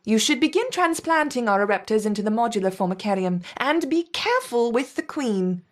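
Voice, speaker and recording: Commanding female game supervisor: formal, clipped, impatient, high voice with lively inflection. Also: a little fast. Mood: stern. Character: brisk, authoritative female project supervisor, coolly impatient. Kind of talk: acted video game dialogue.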